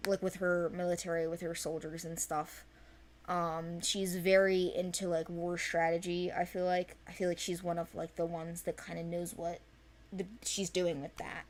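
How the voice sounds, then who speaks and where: A woman's voice: on the low side, flat delivery, measured pace, a little hiss or hum. A woman speaking casually and off the cuff, explaining things in an informal, chatty way as a creator presenting a project. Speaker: animated series creator presenting characters for auditions. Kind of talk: casual unscripted project discussion.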